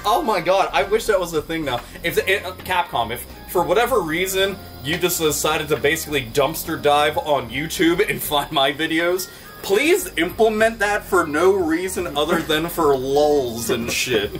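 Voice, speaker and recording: Profane, animated man with a higher voice. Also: normal speed, faint music behind. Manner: profane, animated, laughing often. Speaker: host. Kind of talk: casual chat. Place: indoor room with wood wall backdrop.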